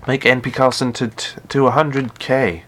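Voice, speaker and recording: A man with a deep voice chatting casually while playing a game live, often stumbling and repeating words. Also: level tone, moderate pace, a bit bright, slight background noise. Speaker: gaming live streamer.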